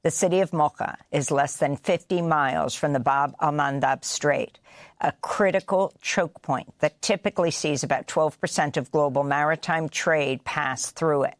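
Low-pitched American woman news anchor. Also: moderate pace, no room sound, studio quality. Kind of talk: scripted news headlines and narration. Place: broadcast studio.

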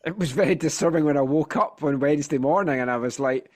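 A man's voice: a little high, quick pace, a little mellow. A Scottish man hosting a football podcast in casual chat, telling things with wry animation, slightly congested from a sinus infection. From Scotland.

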